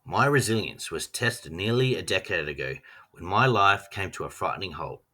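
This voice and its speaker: An Australian man giving a scripted personal narration as a trainee teacher, reading in an earnest, measured way. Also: sits low, lively intonation, pushed and bright. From Western Australia.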